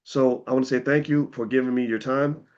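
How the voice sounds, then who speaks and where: A slightly hoarse man hosting, giving a casual, persuasive sales pitch to viewers in plain-spoken talk, often repeating words as he restarts. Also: fairly even intonation, a little fast, darkish tone, dull audio. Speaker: host pitching an investing course.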